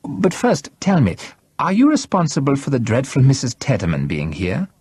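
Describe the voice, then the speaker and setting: A man's voice: mid-range voice, wildly swooping pitch, moderate pace, a little mellow, husky, no room sound, voice pushed up, exaggerated voice. A man voicing a mocking, coolly menacing male villain in an audiobook, casual, scornful and mock-polite. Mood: smug, cool. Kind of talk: audiobook character acting.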